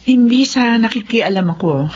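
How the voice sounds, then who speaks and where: An actress playing a caring middle-aged family friend in a radio drama, motherly and gently chiding. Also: a little high, expressive, normal speed, lightly acted. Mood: concerned, advising. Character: caring, concerned older female family friend, gently advising. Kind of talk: Tagalog radio drama acting. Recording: dull lo-fi audio, faint background noise.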